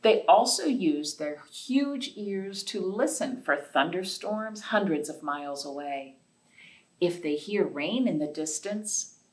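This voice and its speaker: Low-voiced woman reading to children, off-mic. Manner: simple explanations and questions addressed to young children. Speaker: library storytime host. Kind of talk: reading a children's picture book aloud with chatty asides. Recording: distant, off-mic voice.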